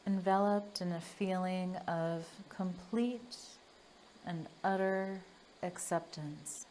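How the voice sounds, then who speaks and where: A woman leading a guided meditation in a gentle, soothing manner, leaving long pauses between phrases. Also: fairly low pitch, slight background noise.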